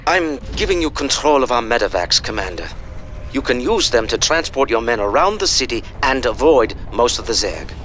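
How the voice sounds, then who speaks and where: A man's voice: mid-range voice, lively intonation, moderate pace, fairly bright tone, a little hiss or hum, lightly acted. An actor playing a composed, learned scientist in a video game, formal and dryly superior as he advises a commander. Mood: helpful, formal.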